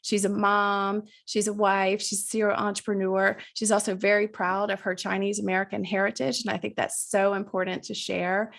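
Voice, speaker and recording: A woman hosting a podcast interview, enthusiastic and effusive, a little nasal and raspy from allergies. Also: medium pitch, flat delivery, moderate pace, hardly any pauses, fairly bright tone. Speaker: podcast host. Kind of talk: conversational interview.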